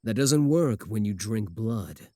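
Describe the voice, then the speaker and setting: Sardonic male werewolf suitor, played by a man, comedic ASMR roleplay: slightly deep, possessive, taunting, recorded dry and close. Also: animated, takes it slowly, mellow, no echo. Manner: taunting, sardonic, doting on the listener.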